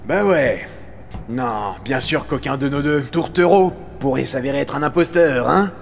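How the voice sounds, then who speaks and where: A man voicing a hot-tempered, sarcastic, foul-mouthed astronaut crewmate in an animated fan dub. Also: mid-range voice, measured pace, vocal fry, a little hiss or hum. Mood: mocking, sarcastic. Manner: sarcastic, crude, accusatory.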